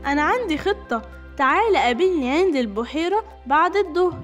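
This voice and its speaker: A woman plays a small, clever girl rabbit from a storybook, high and self-assured. The accent is Egyptian, with gentle music behind. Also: expressive, fast talker. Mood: determined. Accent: Egyptian Arabic.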